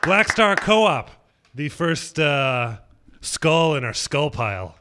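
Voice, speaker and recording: A man's voice: a little high, big pitch swings, takes it slowly, sharp tone. A man co-hosting a radio show from a studio, chatting in a playful, boastful, joking way. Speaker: radio co-host. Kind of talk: casual radio banter.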